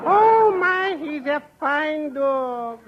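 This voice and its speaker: A wry, genial comic eccentric with a Yiddish accent and a high, leisurely singsong. It is 1940s radio comedy, muffled and clearly noisy. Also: male voice, lively intonation, extremely slow, full character voice.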